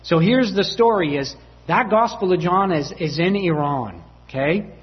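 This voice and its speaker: A man's voice: sits high, animated, moderate pace, highs cut off. A man preaching a sermon as a story, acting out family dialogue with voices and laughter.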